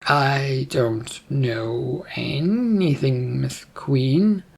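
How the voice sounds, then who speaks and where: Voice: mid-range voice, unhurried, creaky, a little mellow, breathy edge. A narrator voicing a distrustful young man in a storybook, muttering, hesitant and sarcastic. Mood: nervous, evasive. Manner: muttering, stammering, grudging.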